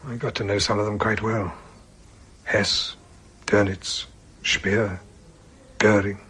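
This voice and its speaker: An actor in a radio drama playing an ailing, remorseful old British army colonel, speaking formally and haltingly in a husky, breathy voice. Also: male voice, on the low side, flat delivery, fairly bright tone, exaggerated voice. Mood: grave, indignant. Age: older.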